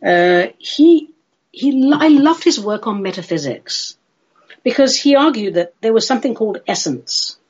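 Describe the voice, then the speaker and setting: A woman's voice: on the high side, big pitch swings, a little slow, darkish tone, husky, dull audio. A woman from London with a London English accent hosting a chatty solo radio show in a playful, theatrical, droll manner. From London, England.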